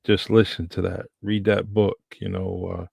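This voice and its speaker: A man hosting a podcast, talking in a relaxed way with many pauses and fillers. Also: low voice, creaky, breathy edge, dry recording, studio quality. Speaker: podcast host. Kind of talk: casual conversation.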